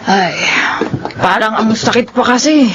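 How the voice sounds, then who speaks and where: A woman's voice: fairly high pitch, unhurried, a little hiss or hum, lightly acted. A Filipino woman playing a drunk, heartbroken, stubborn young woman in a radio drama. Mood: drunk, hurt.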